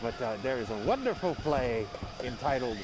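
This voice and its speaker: A man's voice: mid-range voice, sing-song, normal speed, background noise. A man co-hosting with comic banter as he presents a show. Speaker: show co-host.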